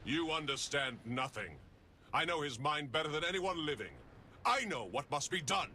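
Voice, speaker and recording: Revan, a proud, bright-voiced cult leader. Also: male voice, on the high side, animated, moderate pace, slight background noise. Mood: scornful. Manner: commanding and scornful. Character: obsessed male Sith-Jedi leader, proud and grim. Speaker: video game character.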